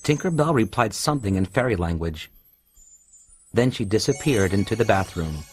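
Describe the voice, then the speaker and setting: A man's voice: on the low side, animated, normal speed. A man narrating a scripted children's storybook tale. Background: music.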